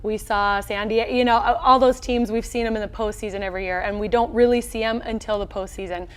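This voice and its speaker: An American woman in her thirties with a medium-pitched voice. She speaks as a coach being interviewed, in an upbeat, colloquial and straightforward way. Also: fairly even intonation, quick pace. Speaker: volleyball head coach, interview guest. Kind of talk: conversational sports interview. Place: small indoor locker-room set.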